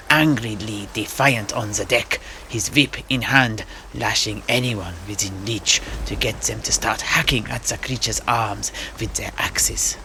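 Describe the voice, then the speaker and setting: A man playing a genial old-world seafarer who recounts his grandfather's voyages in measured storyteller's phrasing. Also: fairly low pitch, bright, forceful tone, a little hiss or hum, low-quality recording. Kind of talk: in-character fantasy storytelling. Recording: music playing under the voice.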